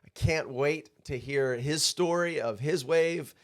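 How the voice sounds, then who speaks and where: A man's voice: on the high side, takes it slowly. A man hosting a casual surf podcast in a studio, upbeat and chatty, laughing often. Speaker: podcast host.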